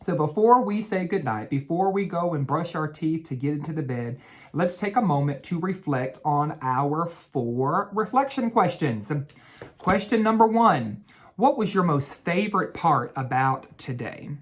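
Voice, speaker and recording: A man speaking as an educator in a bedtime read-aloud for children, with a gentle, instructive way of talking and drawn-out key words. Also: fairly high pitch, animated.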